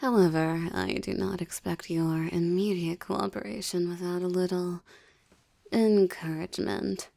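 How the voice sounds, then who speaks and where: A woman voicing a seductive, menacing succubus in an intimate audio roleplay, with formal, ornate phrasing and a teasing, breathy delivery. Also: lowish voice, fairly even intonation, unhurried, dark tone, dry recording. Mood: commanding, seductive. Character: seductive, menacing adult female succubus demon, teasing and possessive. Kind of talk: intimate audio roleplay, ASMR-like monologue addressed to listener.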